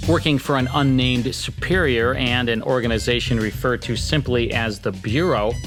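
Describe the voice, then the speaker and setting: Man narrating over soft music. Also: mid-range voice. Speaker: podcast host. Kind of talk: scripted narration.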